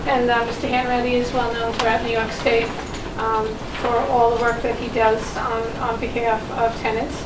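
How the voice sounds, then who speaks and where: A woman's voice: on the high side, little pitch movement, moderate pace. A woman moderating a workshop, introducing speakers in a hesitant way, full of fillers. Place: community meeting room. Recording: echoey room, far from mic, loud noise.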